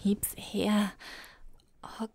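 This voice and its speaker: A woman's voice: mid-range voice, little pitch movement, extremely slow, vocal fry, darkish tone, husky, full character voice. An actress playing a coquettish, gold-digging gangster's girlfriend who coos in a German audio drama. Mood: greedy, adoring. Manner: coquettish, cooing.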